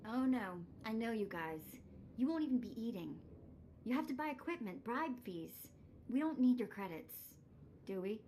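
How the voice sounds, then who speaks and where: An actress playing a warm, independent young woman among old friends in a video game. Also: fairly high pitch, expressive, normal speed, lightly acted. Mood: gently protesting. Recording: game audio with faint background noise.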